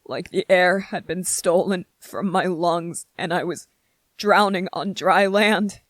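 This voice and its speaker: A performer voicing a gentle, grieving young male soldier in an intimate ASMR roleplay, speaking haltingly and emotionally, close to tears. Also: mid-range voice, fairly even intonation, no echo. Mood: grieving, aching. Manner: halting, emotional, earnest, short broken phrases.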